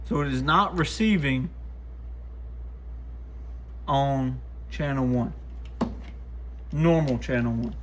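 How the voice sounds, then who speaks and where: A man's voice: medium pitch, slow, pauses often. A man casually thinking aloud in short, muttered remarks as he demonstrates and tests gear by hand. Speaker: radio shop host testing gear. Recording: clear background noise with clicks.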